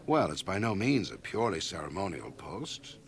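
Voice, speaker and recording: A man's voice: sits low, sing-song, moderate pace. An actor playing a self-satisfied, ambitious, wealthy middle-aged man in a radio drama, hearty, pompous and confiding. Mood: proud, enthusiastic. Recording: 1980 radio drama on cassette.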